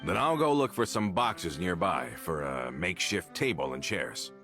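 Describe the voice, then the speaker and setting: A loyal gang member with a very deep voice that still rises and falls a good deal. He speaks with earnest, formal courtesy. Soft game music runs beneath him. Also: lively intonation, moderate pace.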